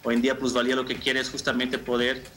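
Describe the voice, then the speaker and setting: Male interview guest, thirties, mid-range and bright, informal and slightly halting, video-call sound with soft music under. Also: mid-range voice, monotone. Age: 30s. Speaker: marketing manager, interview guest. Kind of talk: informal explanatory interview answers. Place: indoor room, on a video call.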